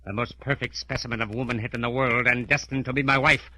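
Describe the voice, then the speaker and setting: An actor playing an imperious, menacing mastermind doctor in an old radio drama, speaking formally and grandly. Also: male voice, medium pitch, steady tone, bright, forceful tone, dry recording. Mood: cold, commanding. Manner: formal, measured, commanding, grandiose. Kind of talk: 1930s radio drama acting. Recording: old radio recording with faint noise.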